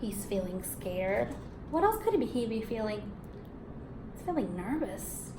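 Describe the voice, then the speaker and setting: A woman teaching young children in a read-aloud lesson, encouraging and expressive, asking questions and confirming answers. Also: medium pitch, takes it slowly. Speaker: elementary school teacher. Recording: echoey room with background noise.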